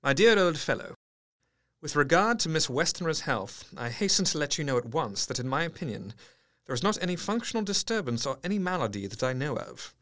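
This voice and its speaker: Fairly high, earnest young doc reading his letters calmly. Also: brisk. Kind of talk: radio drama, reading letters and diary entries.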